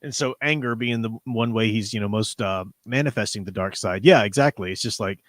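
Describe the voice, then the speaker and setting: A man's voice: on the low side, brisk, dry recording, clean studio sound. A man hosting a livestream, talking casually about comics in a rambling, hedging way. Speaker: livestream host.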